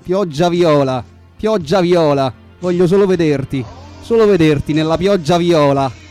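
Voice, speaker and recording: A man's voice: fairly high pitch, measured pace, a little mellow. A man reciting translated song lyrics as spoken word. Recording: voice over music bed. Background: music.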